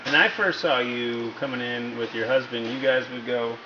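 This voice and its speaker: A man's voice: medium pitch, fairly even intonation, normal speed. A man working as a fitness trainer, asking questions in a casual interview. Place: gym. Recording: echoey, muffled, noisy room recording.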